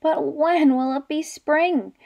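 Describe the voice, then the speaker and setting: A woman voicing an eager, excited young male bear cub in a children's storybook. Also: high-pitched, slow pace, creaky, lo-fi sound, voice pushed up, heavily acted. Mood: impatient. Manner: excited, squealing.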